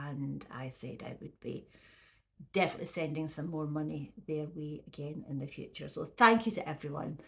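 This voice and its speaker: A woman, chatting casually to camera as a vlogger, her voice a little croaky and her talk full of restarts and ums. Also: deep voice. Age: 50s. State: slightly croaky voice.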